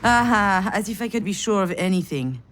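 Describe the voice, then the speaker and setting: A woman's voice: medium pitch, lively intonation, moderate pace, close to an everyday voice. An actress playing a frazzled, self-deprecating woman who narrates her inner monologue in a visual novel game, sardonic and confessional. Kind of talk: visual novel voice acting, first-person narration.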